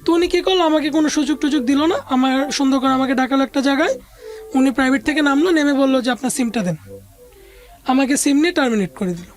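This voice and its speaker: Woman, Bangladeshi accent, high voice, conversational life-story telling, colloquial, interview guest, faint music underneath. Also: quick pace. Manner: casual colloquial Bengali with occasional English business words.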